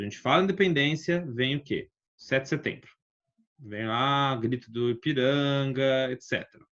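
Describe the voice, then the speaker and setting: Chatty Brazilian male teacher, lo-fi. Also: medium pitch. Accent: Brazilian Portuguese. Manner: chatty, many rhetorical questions and tag words. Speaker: history teacher. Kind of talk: casual recorded online lesson.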